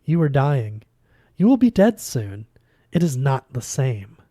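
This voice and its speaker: A man's voice: mid-range voice, sing-song, warm tone, no echo, studio quality. A man voicing a cruel, dismissive fox god in an audiobook, speaking formally and measuredly with a French accent. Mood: coolly dismissive. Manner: measured, condescending, formal phrasing.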